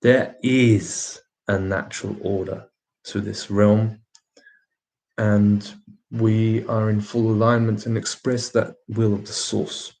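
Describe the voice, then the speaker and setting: A man's voice: lowish voice, little pitch movement, slow, darkish tone, rough recording. Man with a slightly British lilt. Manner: emphatic rhetorical questions to viewers; laughs often between remarks. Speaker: livestream host. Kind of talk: casual livestream talk answering viewers.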